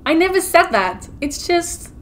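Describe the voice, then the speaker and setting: A woman's voice: very high-pitched, big pitch swings, a little slow, a bit bright, a little hiss or hum, full character voice. An actress playing a hurt but determined young woman in an audio drama, confiding and emotional. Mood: hurt, furious. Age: young adult.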